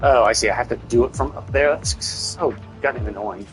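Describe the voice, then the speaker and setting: A man's voice: medium pitch, a little fast, soft background music. A man giving rambling, critical live commentary as he plays, repeating himself for emphasis. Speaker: game streamer. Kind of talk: casual live game commentary. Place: live stream, game music behind.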